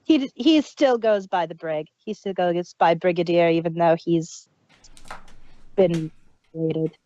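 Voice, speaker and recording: Female co-host, relaxed and slow, lo-fi dry mic sound, casual review chat. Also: on the low side, no room sound. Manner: chatty, fan enthusiasm, trailing restarts. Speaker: podcast co-host.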